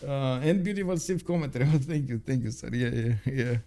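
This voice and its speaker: Young male live caster, native Romanian speaker, filler-heavy and upbeat, talks to chat, medium pitch. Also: animated, a little fast, dark tone. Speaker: game caster. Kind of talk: live esports commentary.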